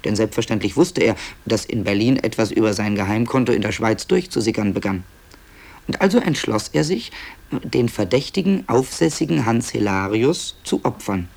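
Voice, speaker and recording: A man's voice: medium pitch, creaky. A man narrating a 1960s radio play as a dryly ironic, confiding author who hesitates and stammers. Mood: musing. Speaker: narrator presenting tape recordings.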